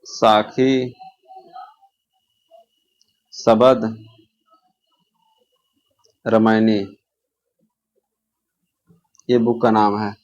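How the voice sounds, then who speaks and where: A man's voice: lowish voice, level tone, extremely slow, pauses often. A man teaching an exam-prep lesson, asking quiz questions and answering them himself, repeating the key answers. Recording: dull lo-fi audio.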